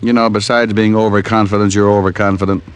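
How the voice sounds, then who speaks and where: Actor as low-voiced wisecracking radio private eye. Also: male voice, little pitch movement, fast, clean tone, natural voice. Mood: sardonic. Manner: wry, dry wisecracking. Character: wisecracking, cynical hard-boiled private detective, narrating his case. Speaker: lead actor and narrator. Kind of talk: old-time radio detective drama acting and narration.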